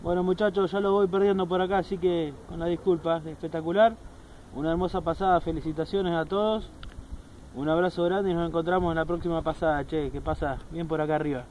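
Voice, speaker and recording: Argentine man, higher voice, in steady noise. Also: a little fast, lo-fi sound. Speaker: amateur radio operator. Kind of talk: casual radio contact talk with call signs. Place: outdoors at night on sandy open ground. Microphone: camera a few steps away. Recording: noisy outdoor recording with vehicle-like rumble.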